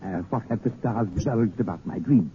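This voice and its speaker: An actor playing a scheming, amused, commanding king in 1930s radio drama, with theatrical, deliberate delivery. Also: lowish voice, lightly acted. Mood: impatient, probing. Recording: noisy, tinny 1930s radio recording.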